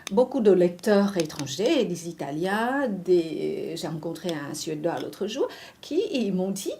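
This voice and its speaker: A woman's voice: lowish voice, a little slow, vocal fry, slight background noise. A woman from Vietnam, a literary translator talking animatedly in an interview, restarting her phrases often. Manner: animated, many restarts and fillers. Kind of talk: conversational interview discussion.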